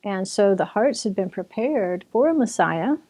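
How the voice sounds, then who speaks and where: Mellow-voiced woman teaching in easy chat. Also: medium pitch, animated, normal speed. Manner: chatty, with restarts and occasional laughter. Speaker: author and Bible teacher. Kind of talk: relaxed conversational teaching.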